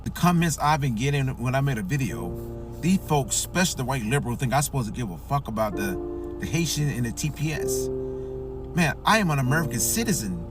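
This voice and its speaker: A man's voice: mid-range voice, very animated, brisk. A man ranting in a blunt, emphatic vlog, swearing freely as he insists on his point. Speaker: online commentator. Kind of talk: heated vlog rant. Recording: distant mic with music behind.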